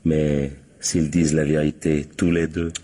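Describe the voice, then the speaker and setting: A man playing a shrewd, ironic police captain who deliberately corners suspects in a French radio crime drama. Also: rumbling low, level tone, mellow, a little hiss or hum. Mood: sly, ironic. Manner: deliberate, ironic, teasing rhetorical questions.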